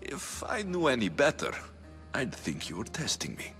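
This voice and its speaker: A man's voice: medium pitch, huge pitch swings, moderate pace. A man playing a wry, blunt young Greek mercenary in a video game cutscene, with a dry, sardonic manner. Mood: guarded. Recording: loud background music.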